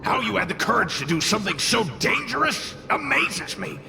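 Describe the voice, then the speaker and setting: A man's voice: high-pitched, big pitch swings, normal speed, vocal fry, exaggerated voice. An actor playing a brash, mischievous male fox-tail spirit in a video game, boastful and protective, with a theatrical, sardonic, mocking manner. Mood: sardonic, proud. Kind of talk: animated video game voice acting. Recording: voice over loud game music. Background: game music.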